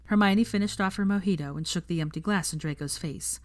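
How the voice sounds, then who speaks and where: A woman's voice: on the low side, a little fast. A woman reading a story aloud as a narrator, in a scripted storytelling style. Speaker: audiobook narrator of fan fiction.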